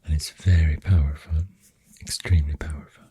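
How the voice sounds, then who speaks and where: A soft-spoken ASMR host with a Swedish accent, half-whispering in a breathy voice and hesitantly rambling up close to the microphone. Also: a bass voice, steady tone, dry recording. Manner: hushed, hesitant, pausing mid-thought. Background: pencil writing sounds.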